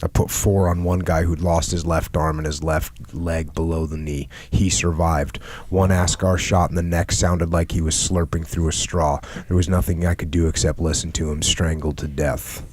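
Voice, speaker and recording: Very deep, flat-voiced man reading aloud. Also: moderate pace, hardly any pauses, slight background noise. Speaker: podcast host reading a war memoir aloud.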